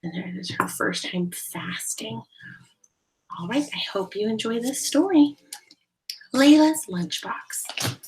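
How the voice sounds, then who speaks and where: A woman reading a storybook aloud like a teacher, explaining things deliberately and putting questions to young listeners. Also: fairly high pitch, animated, low-quality recording. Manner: deliberate, explanatory, addressing children. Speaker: teacher reading a picture book aloud to children.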